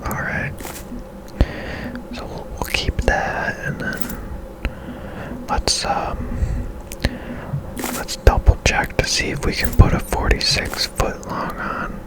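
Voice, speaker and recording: Casting note: whispering ASMR gamer, breathy and very unhurried, thinking aloud in a tentative way. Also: low-quality recording. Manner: unhurried, musing to self, tentative. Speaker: gaming ASMR video creator. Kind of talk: whispered ASMR game commentary.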